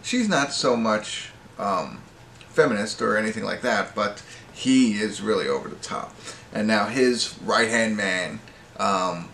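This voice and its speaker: Low-voiced middle-aged American man, roomy sound. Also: gravelly, a bit bright. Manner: rambling, self-correcting, halting. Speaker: amateur movie reviewer and host. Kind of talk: casual unscripted review chat. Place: small indoor wood-panelled room at home. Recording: roomy echo with faint background noise.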